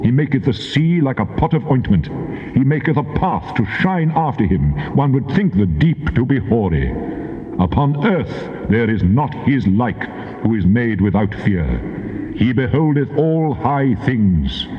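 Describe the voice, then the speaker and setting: An actor playing a stern, majestic God, declaiming scripture grandly. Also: male voice, mid-range voice, sing-song, measured pace. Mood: majestic, awed. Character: God, stern and majestic male deity proclaiming in wrath. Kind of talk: dramatized scripture reading, theatrical declamation. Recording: dull tape, long cavernous reverb.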